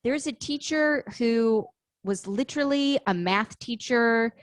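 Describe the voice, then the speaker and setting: A woman's voice: a little high, a little slow, no echo. American woman chatting casually, very dry sound. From Illinois, United States. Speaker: podcast guest, actor. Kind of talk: casual anecdotal chat.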